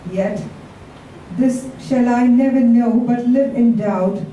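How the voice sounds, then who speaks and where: A woman reading poetry aloud with short casual introductions, in a deliberate, drawn-out delivery. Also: fairly high pitch, mellow. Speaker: poetry reader. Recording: echoey room, distant mic, faint noise.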